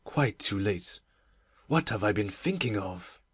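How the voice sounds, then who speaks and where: A woman doing a low, brooding grieving gentleman. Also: expressive, breathy edge, no room sound, studio quality, full character voice. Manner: low, slow and pensive. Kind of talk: audiobook dramatic reading.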